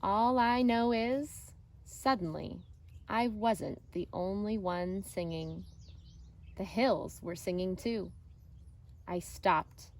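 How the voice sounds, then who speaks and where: Female storybook reader, teacherly, very unhurried, mid-pitched, expressive phrasing for children. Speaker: elementary teacher reading a picture book aloud. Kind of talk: storybook read-aloud narration for children. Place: outdoors.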